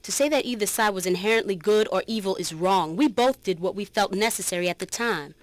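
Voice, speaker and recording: A woman giving a dramatic reading as a resolute, reflective former guerrilla fighter, measured and earnest. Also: medium pitch, no echo, lightly acted. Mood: grave, firm. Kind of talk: dramatic reading of a written testimony.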